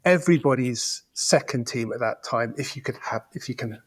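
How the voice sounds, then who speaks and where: A man's voice: medium pitch, moderate pace, slightly rough. A man in his fifties, a football fan invited as a podcast guest, chatting and reminiscing in a rambling, reflective way. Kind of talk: casual podcast chat.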